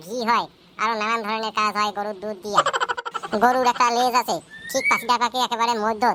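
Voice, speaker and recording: A woman's voice: on the high side, close to an everyday voice. A woman voicing a cheeky, boastful village school pupil in a comic cartoon, brash and talkative, with a North Bengal accent. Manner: brash, rattling recitation and backtalk. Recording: loud noise behind the voice.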